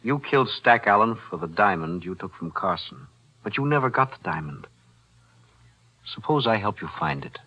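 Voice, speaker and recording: A shrewd defense attorney from a 1940s radio play, deep in pitch and composed. He lays things out deliberately with quiet menace, on a dull lo-fi old recording. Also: heavily acted. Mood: quietly insinuating. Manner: measured, deliberate, articulate, calmly insinuating. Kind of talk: 1940s radio drama acting.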